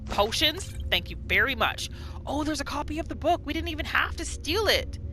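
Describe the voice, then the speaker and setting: High, very animated woman gamer. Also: moderate pace. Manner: expressive, reactive. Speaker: gaming video host. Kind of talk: casual let's play commentary mixed with in-character roleplay. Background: game music.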